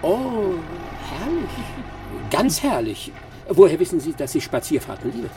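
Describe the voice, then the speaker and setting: A self-assured master detective in a radio play. His delivery swings widely in pitch, formal and emphatic, with a gravelly creak in the voice. He sounds pompous and pressing. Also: very animated, unhurried, music in the background, heavily acted. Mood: sarcastic. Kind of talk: radio drama acting.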